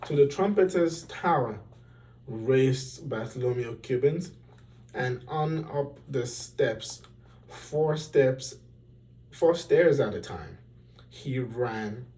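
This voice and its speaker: Slow-reading man, slightly distant mic. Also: medium pitch, mellow. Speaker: storybook reader. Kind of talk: expressive children's story reading.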